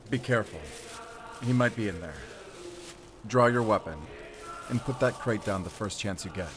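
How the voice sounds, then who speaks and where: A man's voice: fairly low pitch, normal speed, lightly acted. A man playing a grim, commanding werewolf hunter, speaking tersely in an audio drama. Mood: urgent, wary. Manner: terse, tense, giving orders. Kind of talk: cinematic audio drama acting. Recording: clear background noise.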